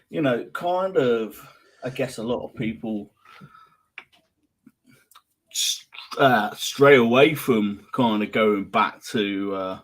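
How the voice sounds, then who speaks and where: A man hosting a podcast, asking meandering questions full of fillers. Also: fairly low pitch. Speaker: podcast host. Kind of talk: casual interview chat.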